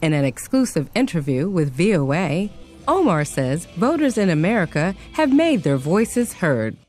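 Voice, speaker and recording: A woman's voice: fairly low pitch, sing-song, normal speed, darkish tone, faint music behind. A woman narrating a news report as a voice-over.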